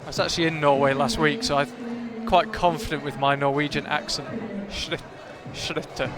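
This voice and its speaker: High-voiced male climbing commentator. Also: flat delivery, normal speed, over loud music. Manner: chatty, analytical, thinks aloud with restarts. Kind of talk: live sports commentary. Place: big climbing competition hall with a large crowd. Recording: loud crowd in a big hall.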